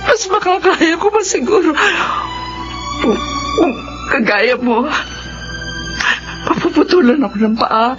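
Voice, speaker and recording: Anguished abandoned mother, radio drama, high voice, very expressive, emotional, muffled audio, music underneath. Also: slow pace, long pauses. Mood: tearful. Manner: emotional, pleading, breaks into crying and shouting.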